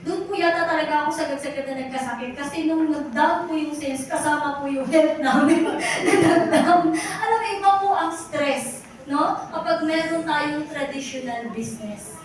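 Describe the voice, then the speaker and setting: A woman's voice: high-pitched, fairly quick. A Filipino woman in her forties giving a testimonial speech at a company event, mixing English and Tagalog and asking the audience rhetorical questions. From Philippines. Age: 40s. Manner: addresses the audience directly again and again. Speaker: motivational speaker at a company event. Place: large echoey event hall. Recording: echoey hall, voice from far off, background noise.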